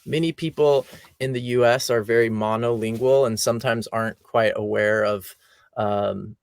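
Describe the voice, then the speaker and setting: A man's voice: mid-range voice, moderate pace, vocal fry, dry recording. Man, American, interview host, casual, lots of ums, dry close sound. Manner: relaxed, conversational, frequent ums. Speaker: podcast host.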